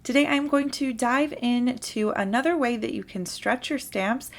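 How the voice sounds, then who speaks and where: A young adult woman with a slight sore throat hosting a card-making tutorial and calmly explaining each step. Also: fairly high pitch, moderate pace. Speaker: papercraft tutorial host. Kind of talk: instructional voice-over for a crafting video.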